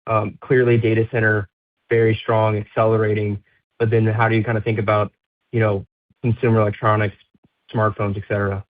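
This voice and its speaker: Flat-voiced analyst over a phone line. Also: male voice, fairly low pitch. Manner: casual, halting, many fillers. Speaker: financial analyst. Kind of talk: earnings call question.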